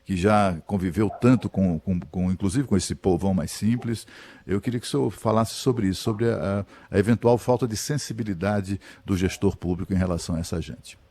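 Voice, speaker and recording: A man's voice: low voice, moderate pace. A middle-aged man hosting a radio news show, asking questions hesitantly with many fillers on a studio microphone. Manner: hesitant, many fillers and restarts, animated when retelling.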